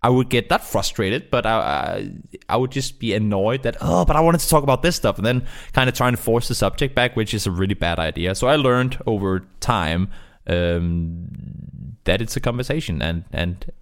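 A man who is not a native English speaker, talking casually and in a rambling, animated way as a guest caster in an interview. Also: lowish voice, moderate pace. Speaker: esports caster being interviewed.

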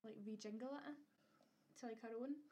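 A woman's voice: fairly high pitch, moderate pace, mellow. A young woman co-hosting a podcast in casual, blunt chat, swearing freely and laughing often. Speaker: podcast co-host.

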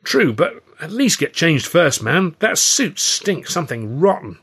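A male audiobook reader acts out an agitated, fretful male friend. The voice jumps high and loud, urgent and exclaiming, with a husky edge. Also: very animated, measured pace, full character voice. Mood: fretful, urging.

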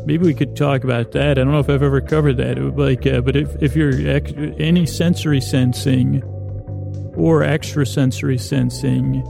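A man with a creaky voice hosting a bedtime podcast in a meandering, halting monologue full of unfinished sentences. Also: medium pitch, steady tone, over music. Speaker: podcast host.

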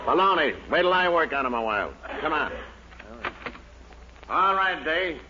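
A barking tough police captain. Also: male voice, fairly high pitch, sing-song, normal speed, heavy background noise. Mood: bullying. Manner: barking, bullying. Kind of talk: 1940s radio comedy acting. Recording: dull old radio recording with loud audience.

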